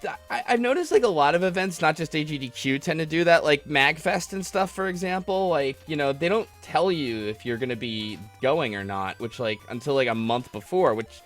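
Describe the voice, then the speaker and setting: A man's voice: fairly high pitch, lively intonation, a little fast, hardly any pauses, a bit bright. Casual man hosting, music playing behind him. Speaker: podcast and stream host. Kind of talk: casual live call-in chat while gaming.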